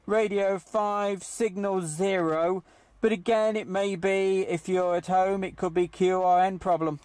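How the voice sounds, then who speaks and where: A man running a CB radio net, speaking in a halting, hesitant way. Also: high voice, fairly even intonation, darkish tone, smooth, no echo. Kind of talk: amateur CB radio chat.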